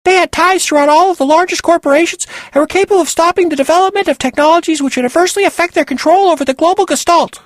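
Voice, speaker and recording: Squeaky, excitable young woman who blurts everything out, as a man puts her on. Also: quick pace, hardly any pauses, no room sound, studio quality. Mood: excited. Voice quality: shrill, squeaky. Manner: blurting, eager.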